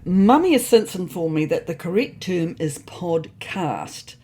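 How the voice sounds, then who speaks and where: A woman's voice: lowish voice, big pitch swings, unhurried, warm tone. A woman voicing a fussy, neurotic male ginger cat who narrates a comic storybook diary in a prim, indignant, self-important way. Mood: earnest, self-important.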